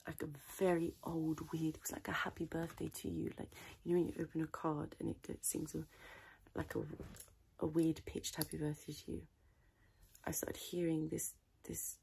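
A woman softly improvising an ASMR personal attention role play as a tailor, chatting in a rambling, chaotic way close to the microphone. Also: low voice, gravelly, breathy edge. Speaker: ASMR creator playing a tailor.

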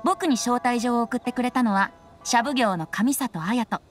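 A woman's voice: fairly high pitch, normal speed, close to an everyday voice. A woman voicing a playful, carefree young male bard in an anime game, with breezy, teasing, theatrical delivery. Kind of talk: Japanese anime game voice acting. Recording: background music behind voice.

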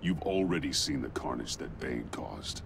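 A man playing a stern, brooding masked vigilante in a video game, speaking gravelly, in terse commands. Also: rumbling low, animated, moderate pace, background noise, exaggerated voice. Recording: music behind the voice.

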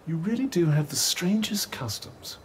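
An urbane talking relic from a fantasy game. His pitch sits a little high and swings in lively curves, and he speaks with arch formality and dry, teasing wit over some background noise. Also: moderate pace. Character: urbane, dry-witted talking magical cuff, male companion. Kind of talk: video game voice acting.

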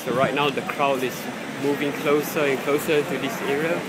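A man giving halting, unscripted commentary as he films outdoors on a handheld device in a busy city street. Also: mid-range voice, little pitch movement. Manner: halting, searching for words. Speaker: amateur video maker filming a street scene. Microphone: handheld phone or camera, held by the speaker. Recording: noisy busy city street, traffic and crowd.

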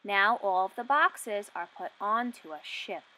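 A woman in her twenties reading a picture book aloud for young children, asking questions and enunciating deliberately. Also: mid-range voice, lively intonation, sharp tone, rough recording. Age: 20s.

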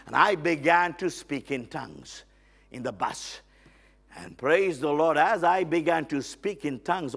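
A middle-aged man preaching a lively sermon as a guest on a church stage, loud and excitable, with frequent amens and hallelujahs. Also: fairly high pitch, gravelly, husky. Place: church stage with band instruments.

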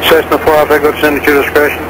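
A man's voice: fairly high pitch, monotone, racing, background noise. A man working as an approach controller, giving clipped, businesslike instructions over aviation radio. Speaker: air traffic approach controller. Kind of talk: air traffic radio instructions.

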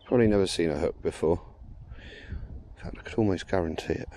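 Deep-voiced angler, relaxed. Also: male voice, quick pace, noisy background. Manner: relaxed, chatty, explains his tackle. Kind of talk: casual fishing commentary. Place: canal bank outdoors.

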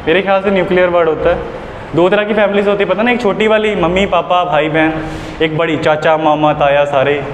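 A young man teaching an English lesson in a classroom, explaining patiently, mixing Hindi with English phrases, picked up by a camera across the room. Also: on the high side. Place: classroom with whiteboard. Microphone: far camera. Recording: echoey classroom, room noise.

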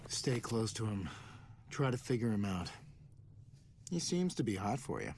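An actor playing a young, earnest military captain in a video game, with brisk, clipped delivery. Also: male voice, lowish voice, big pitch swings, noisy background. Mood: wary. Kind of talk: video game cutscene acting. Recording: loud music behind the dialogue.

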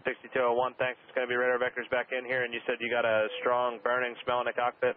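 A male departure controller giving steady, polite radio calls. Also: mid-range voice, quick pace. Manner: steady, polite and even-toned. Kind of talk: air traffic radio calls. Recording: tinny radio transmission with faint hiss.

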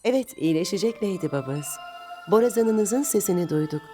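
A woman's voice: medium pitch, expressive, brisk, warm tone, faint music behind, natural voice. A woman voicing an exasperated unicorn mare with a dry manner in a dubbed cartoon.

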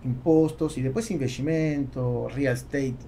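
A man's voice: mid-range voice, steady tone, moderate pace, mellow, slight background noise. A man in his forties from Argentina, a native Spanish speaker with an Argentine accent, chatting as a podcast guest and often searching for words. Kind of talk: conversational interview. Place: small foam-treated podcast studio. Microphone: close podcast microphone on boom arm.

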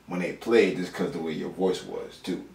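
Raspy, nasal young man with a very deep voice. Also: a little fast. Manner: rambling, animated explaining with mock imitations. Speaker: producer giving feedback. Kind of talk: casual studio feedback chat. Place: small home recording studio, indoors. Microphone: far camera. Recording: echoey room from far off.

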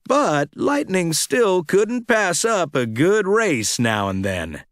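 Animated, unhurried male children's storyteller. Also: high voice, no echo, studio quality. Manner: dramatic, expressive delivery for children. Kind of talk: scripted read-along storytelling.

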